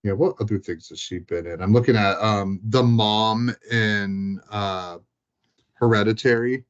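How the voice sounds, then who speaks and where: A man's voice: fairly low pitch, fairly even intonation, a little slow, highs cut off. A man chatting casually and informally about films, thinking aloud as he goes.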